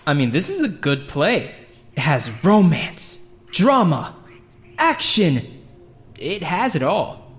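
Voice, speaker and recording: A man's voice: on the high side, sing-song, slow pace, pauses often, a bit bright, exaggerated voice. A man playing an eager, chatty amateur stage actor in audio drama dialogue. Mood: eager, casual.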